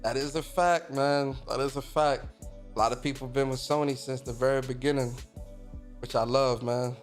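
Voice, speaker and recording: A man in his thirties hosting a casual gaming livestream, reading out viewer comments and giving loose, profane shout-outs to the chat. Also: mid-range voice, steady tone, normal speed, faint music behind. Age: 30s. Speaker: streamer and podcast host.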